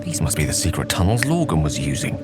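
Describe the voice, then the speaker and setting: A man's voice: rumbling low, big pitch swings, fast talker, loud music behind the voice. A man playing a dry-witted, musing adventurer with morbid humour in a video game.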